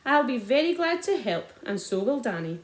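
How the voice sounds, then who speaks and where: A woman voicing a calm, sly country father who speaks softly and wryly. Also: on the high side, moderate pace. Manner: soft-spoken, wry. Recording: roomy echo.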